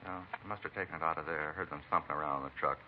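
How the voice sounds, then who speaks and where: A man's voice: rumbling low, steady tone, racing. An actor playing a beaten, talkative American truck driver in a vintage radio drama, rambling and folksy in plain working-man talk. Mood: weary recounting. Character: beaten truck driver, talkative, folksy, eccentric self-styled bump-reader. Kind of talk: 1940s-50s radio acting. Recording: muffled vintage radio recording, faint hiss.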